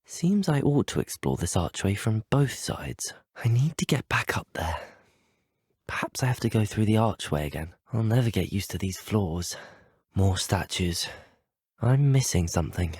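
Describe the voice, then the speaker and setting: A lowish, slightly husky British voice for an earnest teenage wizard student in a video game. He is courteous and curious, with a very mobile melody, recorded dry and close. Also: big pitch swings, normal speed, darkish tone, no room sound. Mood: hushed musing, then musing. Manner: courteous, well-spoken, formal. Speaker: player character voice actor. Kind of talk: scripted video game voice lines.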